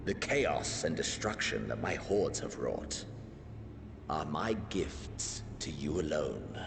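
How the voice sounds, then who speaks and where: An actor playing a cold, theatrical warlord villain who speaks in grandiose, archaic phrases. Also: male voice, very animated, takes it slowly, gravelly, noisy background, heavily acted. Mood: menacing. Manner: grandiose, archaic speech with sweeping pitch swings. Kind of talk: video game cutscene acting. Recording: clean studio dialogue over loud game score.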